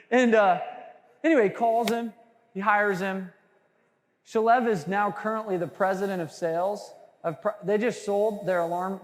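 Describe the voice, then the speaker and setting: A man giving a lively sales training talk to a live audience, joking with the crowd and asking for hands. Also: sits high. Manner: animated, jokey, quizzes the crowd. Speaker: sales trainer speaking to a room. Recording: long hall reverb.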